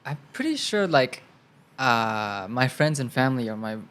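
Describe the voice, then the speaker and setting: Young thai guy chatting in english, laid back, lots of "like" fillers, mid pitch. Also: normal speed, clear, resonant tone. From Thailand. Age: young adult. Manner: relaxed and chatty, thinks aloud with many fillers. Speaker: guest actor. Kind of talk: casual interview answers.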